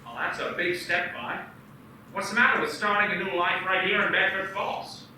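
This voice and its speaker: A kind, earnest small-town banker, played by a man with a high, very bright and sharp voice. He sounds distant in an echoey room with faint background noise. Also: normal speed. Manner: teasing and friendly, then frantic. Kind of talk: amateur radio play acting.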